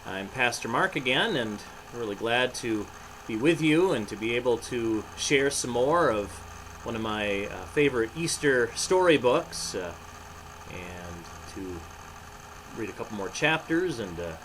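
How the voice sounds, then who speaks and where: A man with a mid-pitched voice talks as a pastor to young listeners about a storybook. He proceeds haltingly, pausing as he goes. There is some light background noise. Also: lively intonation, fairly bright tone, poor audio. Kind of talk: children's storybook reading with short reflections.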